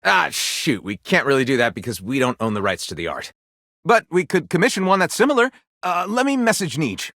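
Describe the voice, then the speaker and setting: Gravelly, theatrical game developer. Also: male voice, fairly high pitch, sing-song, fairly quick, a bit bright, no echo, clean studio sound, exaggerated voice. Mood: flustered. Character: weary, theatrical, fussy male game developer. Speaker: game director character. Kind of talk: video game voice acting.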